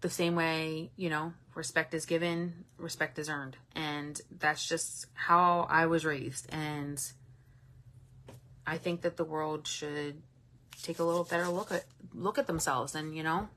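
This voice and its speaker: A woman's voice: low-pitched, steady tone, measured pace, fairly bright tone, slight background noise. A woman in her late thirties hosting a casual livestream, talking candidly and reading out viewer comments, with a chatty manner and the odd swear word. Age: 30s. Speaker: livestream host.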